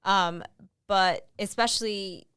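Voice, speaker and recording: Earnest young woman, smooth voice. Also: medium pitch, a bit bright, dry recording, clean studio sound. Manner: earnest, halting with many fillers. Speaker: podcast co-host. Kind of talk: casual chat.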